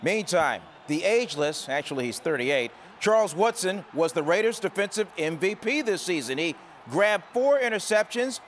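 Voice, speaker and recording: A man's voice: sits high, animated, normal speed, few pauses, slight background noise. A man anchoring a television sportscast with a punchy, playful delivery. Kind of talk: lively sportscast, scripted narration. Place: TV news studio.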